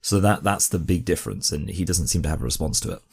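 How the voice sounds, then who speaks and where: A very low-pitched man hosts a commentary, pressing his points hard. His speech is full of "uh"s, "like"s and restarts. A slight cough sits in his voice. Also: fast, creaky, breathy edge. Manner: emphatic, many fillers and restarts. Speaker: commentary host. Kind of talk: argumentative commentary on a video.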